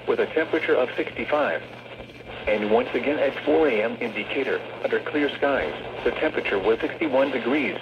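A man's voice: mid-range voice, noisy background. Muffled synthetic male weather radio announcer. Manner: synthetic, stilted text-to-speech delivery. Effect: synthetic voice over weather radio. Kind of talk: scripted weather forecast reading.

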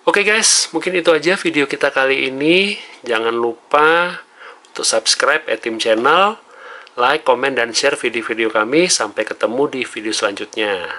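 A man's voice: mid-range voice, moderate pace, sharp tone. An Indonesian man giving a casual, conversational hands-on tutorial as a vlogger. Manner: conversational, often tags phrases with "ya".

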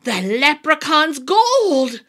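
A woman's voice: fairly high pitch, lively intonation, slow, pushed and bright, heavily acted. A woman voicing a kindly old man, a humble traveling harper in a storybook, modest and earnest in manner. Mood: relieved, amazed.

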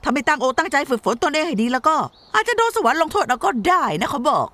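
A woman's voice: high-pitched, animated, fast, pushed and bright, no room sound, full character voice. A woman voicing a small, proud, teasing spirit creature that scolds like a mentor in a Thai anime dub. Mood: lecturing. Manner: teasing, lecturing, brash. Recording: voice over background music.

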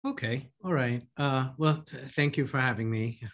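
A man in his fifties with an American New York accent talks through his career as a podcast guest. His voice sits at an ordinary middle pitch. He pauses a lot, stacking fillers and repeating words as he goes. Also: a bit bright. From New York, USA. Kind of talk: casual interview talk.

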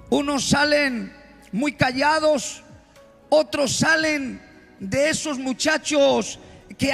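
A middle-aged man preaching a fervent Pentecostal sermon into a handheld mic on a large church stage, rising to shouts. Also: extremely high pitch, vocal fry. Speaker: pastor. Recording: long hall reverb.

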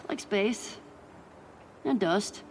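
Slow-paced, spirited teenage girl. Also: mid-range voice, animated. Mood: awed, delighted. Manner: sarcastic, exclamatory, breathy wonder. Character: teenage girl, spirited, sarcastic, easily awed space nerd. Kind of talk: naturalistic video game acting. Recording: clear background noise, ambience.

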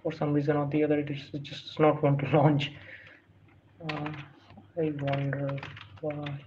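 A man's voice: a little high, completely flat delivery, moderate pace, darkish tone. A man who is not a native English speaker walks through a screen-recorded tutorial, reading prompts aloud with many fillers and restarts. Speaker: tutorial host. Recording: dull lo-fi sound with faint hiss.

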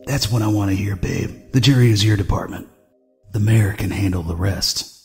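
A man's voice: lowish voice, normal speed, vocal fry. A man playing a cynical, pushy mayor's aide, curt and condescending, in a comic-book audio drama. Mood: dismissive. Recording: music behind the voice.

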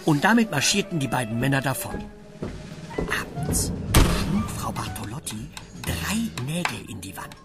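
A man's voice: medium pitch, wildly swooping pitch, measured pace, loud music behind the voice. A man giving scripted narration for a children's story.

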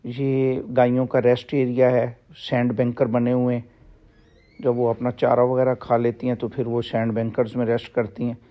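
A man walks through his farm and explains each shed in a steady, level voice that barely rises or falls. He pauses often and fills gaps with short hesitations. The handheld phone audio sounds slightly dull. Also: mid-range voice. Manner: plain, explanatory, with frequent pauses and fillers. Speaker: farm owner giving a tour. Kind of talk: walking farm tour narration. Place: outdoors on a livestock farm. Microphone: phone camera held while walking.

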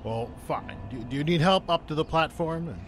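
A man playing a brainy, earnest inventor in an audio drama, with a husky voice. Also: fairly high pitch, moderate pace, a little hiss or hum, close to an everyday voice. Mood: measured. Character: brainy, earnest male inventor, proud of his device.

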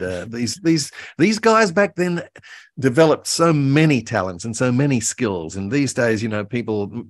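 An older man with a fairly low voice and a dry, close sound on a video call. He reminisces in a meandering, hesitant way, often doubling back on his words. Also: no room sound. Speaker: guest author and voice actor. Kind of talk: reminiscing conversation about old radio. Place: indoor room, video call. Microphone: video call microphone.

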